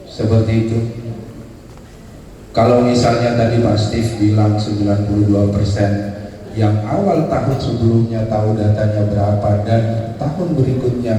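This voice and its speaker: Man, mellow voice, methodical questions, distant mic in a reverberant hall, faint background noise. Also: medium pitch. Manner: methodical, explains scoring step by step. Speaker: competition judge asking questions. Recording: echoey hall, mic far off.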